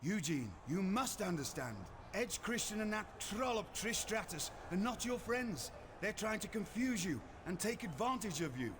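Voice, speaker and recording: A stern, fatherly gentleman mentor in a video game cutscene. His voice is fairly high, his wording formal and proper, with clear noise and ambience around it. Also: big pitch swings, moderate pace, few pauses. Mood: stern concern. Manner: formal, admonishing, old-fashioned wording. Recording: noisy game audio with ambience.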